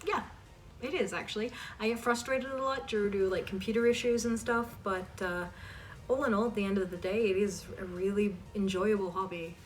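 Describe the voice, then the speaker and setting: A woman's voice: mid-range voice, normal speed, recorded from a distance, soft background music, rough recording. A woman hosting a casual question-and-answer chat for her viewers, informal and emphatic as she reads questions aloud and answers them.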